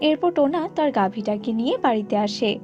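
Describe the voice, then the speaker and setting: High-pitched woman narrating a story. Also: lively intonation, fast talker, darkish tone, lo-fi sound, soft background music. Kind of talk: scripted cartoon story narration.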